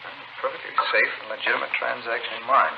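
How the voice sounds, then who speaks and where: An actor playing a smooth, insistent narcotics dealer in a 1950s radio crime drama, polite and persuasive. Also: male voice, mid-range voice, fast, lightly acted. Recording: noisy muffled old radio recording.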